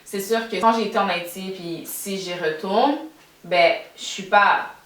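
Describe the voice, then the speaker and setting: A woman's voice: medium pitch, measured pace, fairly bright tone. A young woman from Montreal with a Québécois accent, chatting reflectively on a podcast with plenty of fillers and restarts. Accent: Québécois French. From Montreal, Quebec, Canada. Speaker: podcast co-host. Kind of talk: casual podcast chat. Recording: echoey room, mic at a distance.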